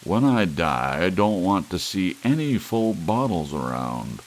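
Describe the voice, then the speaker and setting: A man's voice: low voice, unhurried, pitched above the performer's own voice, heavily acted. A man voicing a big, sardonic, drunken city editor in his forties, with a theatrical, mocking manner, in a storybook reading. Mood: mocking, jovial. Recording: steady hiss, rain sound underneath.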